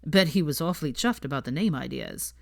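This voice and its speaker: A woman's voice: low-pitched, animated, brisk, gravelly, darkish tone, no room sound, studio quality. A woman reading the part of a casual, slangy young man. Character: young adult man, an easygoing old friend.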